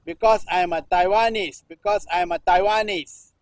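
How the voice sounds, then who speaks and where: A man in his sixties from Singapore, a performance artist reading news text aloud in halting runs, restarting phrases and repeating a refrain. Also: high-pitched, steady tone, no echo. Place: temple-like cage at an art festival.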